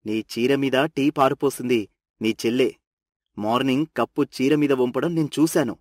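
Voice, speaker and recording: Man playing a protective young husband, medium pitch. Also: normal speed, a little mellow, lightly acted. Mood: indignant, explaining. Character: concerned, protective young husband, easily indignant for his wife.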